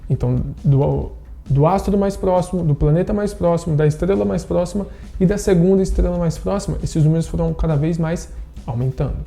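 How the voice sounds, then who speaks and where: A man in his thirties with a Brazilian Portuguese accent, teaching a science lesson online and explaining step by step, often checking in with quick tag questions. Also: a little high, creaky, mellow, soft background music. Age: 30s. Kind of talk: online class lecture.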